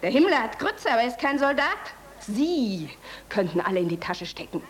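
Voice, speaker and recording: Brazen, high-voiced young fanatic woman. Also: sing-song, a little fast. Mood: fervent, boastful. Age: young adult. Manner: brazen, taunting, fervent. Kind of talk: German radio drama acting.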